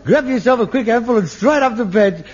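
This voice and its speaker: An actor playing a frustrated middle-aged son, theatrical, swinging from wistful muttering to manic ranting in a sitcom. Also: male voice, very high-pitched, animated, fairly quick. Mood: scornful musing. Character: frustrated middle-aged son, a rag-and-bone man with grand dreams. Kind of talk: 1970s TV sitcom acting. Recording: dull old TV soundtrack.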